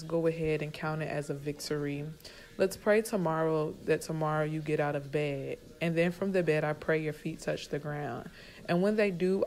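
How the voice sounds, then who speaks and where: Low-pitched woman reciting spoken word poetry. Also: a little mellow. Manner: measured, earnest, emphatic repetition. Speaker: poet.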